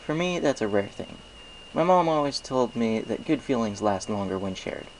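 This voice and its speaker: A man's voice: mid-range voice, lively intonation, moderate pace, a little hiss or hum, natural voice, poor audio. A man voicing a modest, good-natured young pony with a casual, sheepish manner in a storybook reading.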